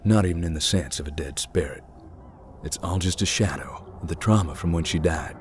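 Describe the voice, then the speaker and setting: An actor voicing a calm young man who hunts ghosts, speaking in a dry, matter-of-fact way. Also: low-pitched, brisk, soft background music, close to an everyday voice. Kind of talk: visual novel voice acting.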